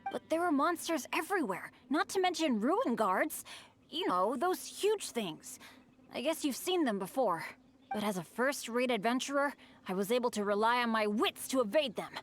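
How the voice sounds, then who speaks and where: A woman voicing an earnest, excitable teenage boy adventurer in an anime-style game, dramatic and exclamatory. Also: on the high side, normal speed. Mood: eager storytelling.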